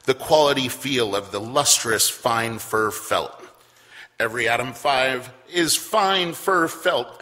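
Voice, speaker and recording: An actor playing a polished, emphatic announcer and pitchman in old-time radio style. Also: sharp tone. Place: theater stage. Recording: echoey theater stage.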